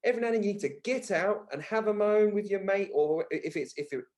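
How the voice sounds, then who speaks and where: Higher-pitched Englishman talking bluntly. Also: quick pace, darkish tone. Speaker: podcast guest. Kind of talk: casual conversational interview talk.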